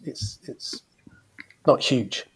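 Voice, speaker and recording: A man's voice: extremely slow, fairly bright tone. A man in his fifties, a football fan invited as a podcast guest, chatting and reminiscing in a rambling, reflective way. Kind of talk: casual podcast chat.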